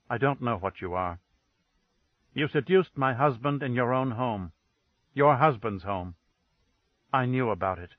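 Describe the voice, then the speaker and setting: A man voicing a grieving widow, cold and flat. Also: medium pitch, dry recording, lo-fi sound, lightly acted. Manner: dead, flat, even tone. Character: grieving woman, cold and bitter.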